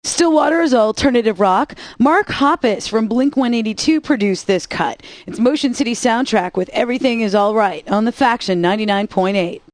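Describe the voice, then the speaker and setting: A woman's voice: fairly high pitch, normal speed, few pauses, no room sound, natural voice. A woman playing a sassy, teasing rock radio DJ who reads song intros in dry, scripted banter.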